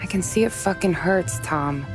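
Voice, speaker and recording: A woman's voice: fairly low pitch, normal speed, close to an everyday voice. An actress playing a tough, sardonic young woman who talks bluntly and bosses people around. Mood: wry. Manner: blunt, wry, bossy. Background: game score music.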